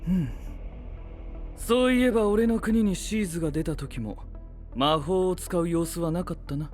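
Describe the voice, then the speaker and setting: An actor playing a calm, inquisitive young knight in an anime voice drama, making measured, blunt, scholarly observations. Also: male voice, high-pitched, faint music behind, natural voice. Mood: curious, analytical. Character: inquisitive, analytical young male knight, calm and self-assured. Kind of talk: Japanese anime voice drama acting.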